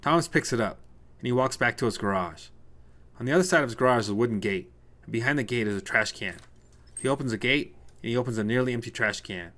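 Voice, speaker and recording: A man's voice: medium pitch, fast, fairly bright tone. A man narrating a scripted short story as a storyteller.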